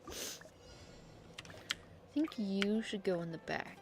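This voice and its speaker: A woman's voice: mid-range voice, expressive, slow, noisy background. A woman streaming a game, thinking aloud in short, casual, reactive remarks as she plays. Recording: game music behind voice. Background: video game music.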